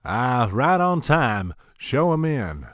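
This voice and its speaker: A man's voice: medium pitch, sing-song, slow pace, a bit bright, no room sound, clean studio sound. Smug, swooping villainous oil baron played by a man. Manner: silky, condescending, mock-courteous. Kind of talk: audio drama acting.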